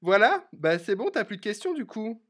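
A man's voice: high-pitched, sing-song, normal speed, fairly bright tone. A young man co-hosting a podcast in a casual, conversational style. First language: French. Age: young adult. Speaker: podcast co-host. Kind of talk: casual chat.

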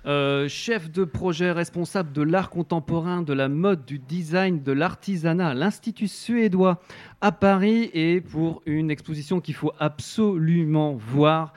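A man interviewing a guest on a French radio show, halting and restarting often. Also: fairly high pitch, a little mellow. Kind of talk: presenting an exhibition and guest.

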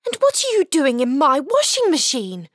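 A woman's voice: high-pitched, wildly swooping pitch, moderate pace, dry recording, clean studio sound, voice pushed up. A woman doing an eager little boy. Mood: excited.

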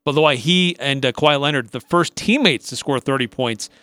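A man's voice: mid-range voice, a little fast, fairly bright tone, smooth. A man with an American accent co-hosting a sports talk radio show, giving analysis and chat.

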